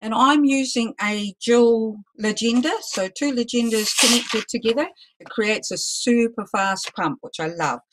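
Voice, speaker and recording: Kiwi lady teaching balloon twisting live, loud and chatty, bit of background noise. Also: fairly high pitch, unhurried, hardly any pauses. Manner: loud, chatty, self-deprecating. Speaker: balloon artist teaching a workshop. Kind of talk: live online demonstration lesson.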